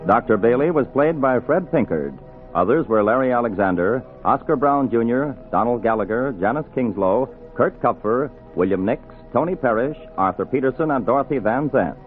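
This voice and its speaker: A man's voice: mid-range voice, expressive, normal speed, soft background music. A man announcing on 1940s radio, reading scripted material in a formal, declamatory style. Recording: tinny, band-limited 1940s radio broadcast.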